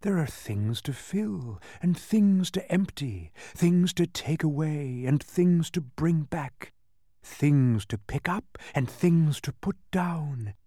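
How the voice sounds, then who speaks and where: A man voicing a sly demon villain of petty tasks in a storybook, menacing and falsely soothing, with drawn-out, sneering delivery. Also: lowish voice, animated, darkish tone, breathy edge, dry recording, studio quality. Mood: soft, coaxing.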